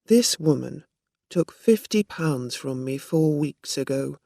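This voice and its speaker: Narrator doing a sharp-witted accusing woman in a story, unhurried, mellow, controlled. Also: mid-range voice, animated, vocal fry, dry recording, clean studio sound, exaggerated voice. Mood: accusing, firm. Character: Dorothy Burns, assertive accusing woman, shrewd and controlled.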